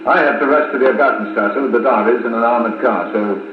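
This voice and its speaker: A man acting a formal, deliberate, middle-aged colonel narrating grim events in a 1950s radio serial. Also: medium pitch, phone-quality sound, natural voice. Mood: brisk, commanding. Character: measured, authoritative British intelligence colonel recounting grim events. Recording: scratchy, echoing 1950s wire recording.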